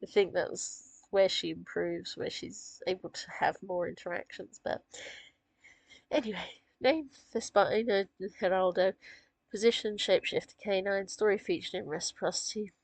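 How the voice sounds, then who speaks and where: A woman with a croaky, gravelly voice from a sore throat, chatting casually about characters she wrote, rambling with fillers and small laughs. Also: mid-range voice, normal speed, no echo, poor audio. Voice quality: gravelly, husky. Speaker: author discussing her characters. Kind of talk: casual chat.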